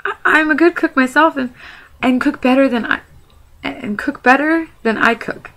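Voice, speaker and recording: A woman's voice: high voice, moderate pace, a bit bright, pitched above the performer's own voice. A woman voicing a small, frightened male hobbit who stammers and pleads as she reads a storybook aloud. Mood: pleading.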